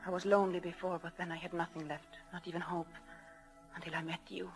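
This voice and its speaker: An actress playing an anguished young wife torn between two loves, pleading and hesitant, in a 1940s radio drama. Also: female voice, fairly low pitch, flat delivery, quick pace, soft background music, lightly acted. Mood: tearful confession. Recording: old 1940s radio broadcast.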